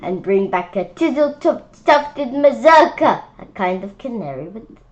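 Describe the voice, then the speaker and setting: A woman's voice: a little high, sing-song, unhurried, dull audio. A woman reading a rhyming picture book aloud informally, often stumbling over words and repeating phrases. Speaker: children's book read-aloud reader.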